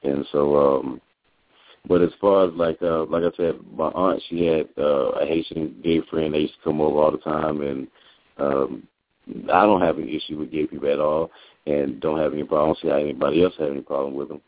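An American man co-hosting a call-in show, telling his background in a halting, casual way full of fillers. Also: very deep voice, steady tone, no room sound. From United States. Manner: halting, filler-heavy storytelling. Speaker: co-host. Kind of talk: casual call-in radio talk. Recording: dry tinny phone-line audio.

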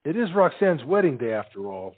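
An actor playing a timid, hesitant and obedient husband in a period comedy audio drama. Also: male voice, medium pitch, big pitch swings, brisk, natural voice. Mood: uneasy. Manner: hesitant, meek. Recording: muffled lo-fi audio, music underneath.

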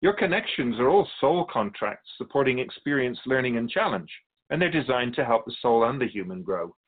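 Through thin, phone-like audio comes a calm otherworldly being, played by a man, with a mid-pitched voice. He explains humanity to humans in a measured, knowing, teacherly manner, as a guest in a radio drama interview. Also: lightly acted. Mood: assured, teaching.

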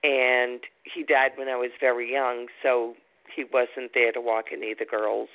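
A woman's voice: a bass voice, flat delivery, moderate pace. An older woman calling in to a radio show by phone, chatting eagerly and casually about personal things. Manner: chatty, eager to keep talking. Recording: narrow telephone line.

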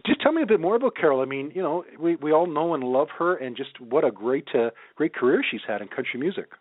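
A man's voice: a little high, expressive, fast talker, no echo, narrow, tinny audio. A man hosting a conversational radio interview, affirming his guest with effusive reactions. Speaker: radio show host, interviewer.

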